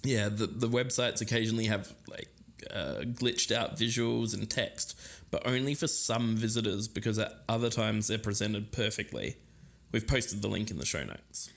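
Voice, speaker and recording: A man's voice: lowish voice, normal speed, vocal fry. An Australian man co-hosting a podcast in a conversational style. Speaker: podcast co-host.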